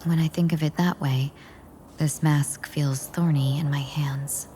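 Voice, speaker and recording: A woman's voice: deep voice, fairly even intonation, a little slow, dark tone, slight background noise. A woman playing a composed, careful young female agent in a video game, speaking in a formal, polite and wary way. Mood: grave, thoughtful. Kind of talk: video game story dialogue.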